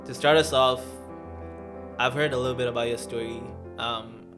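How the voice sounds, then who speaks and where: A man's voice: medium pitch, fairly even intonation, moderate pace, music in the background. A man hosting a podcast, asking questions in an easygoing way with many fillers. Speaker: podcast host. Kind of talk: casual interview talk.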